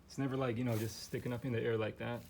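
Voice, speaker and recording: A man's voice: mid-range voice, level tone, very fast, creaky, darkish tone, a little hiss or hum, poor audio. A man who is losing his voice, rambling through a casual home vlog as he shows his pets' setup in his living room, with lots of fillers and restarts. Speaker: guinea pig owner showing his setup.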